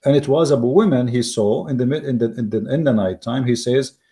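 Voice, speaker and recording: A man with an Arabic accent whose first language is Arabic, giving argumentative commentary in mocking, emphatic English with repeated words and halting restarts. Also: mid-range voice, warm tone. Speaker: online commentator. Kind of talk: argumentative commentary reading from an article.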